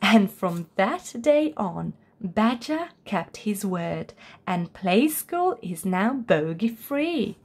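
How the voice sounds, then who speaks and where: A woman reading a children's picture book aloud as a storyteller, in an expressive, deliberate way. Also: medium pitch, husky. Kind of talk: scripted children's story narration.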